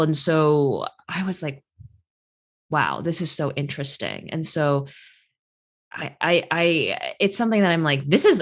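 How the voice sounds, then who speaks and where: A woman whose first language is Chinese, hosting a casual interview and telling stories in an animated way, with many "like" fillers. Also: low-pitched. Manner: animated storyteller, quotes people in lively voices. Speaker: host, career astrologer.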